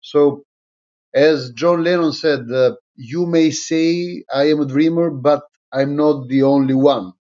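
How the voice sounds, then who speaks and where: Slow, halting Albanian-accented man, slightly muffled. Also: fairly high pitch, dark tone. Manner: halting, deliberate, repeats phrases for emphasis. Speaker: political movement leader. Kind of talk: informal address to an online audience. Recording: slightly dull, lo-fi sound.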